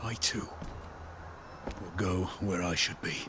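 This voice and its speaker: A man's voice: sits low, slow, background noise. A man playing a grave, loyal soldier of a doomed squad in a video game, speaking formally and earnestly. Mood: solemn, resigned. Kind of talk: dramatic video game voice acting. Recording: game ambience and effects behind voice.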